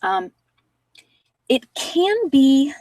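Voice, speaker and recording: A woman's voice: high voice, lively intonation, very slow, darkish tone, no echo, recorded from a distance, poor audio. A woman talking in a casual, conversational way as she hosts an informal tarot card reading. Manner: conversational, informal, anecdotal asides.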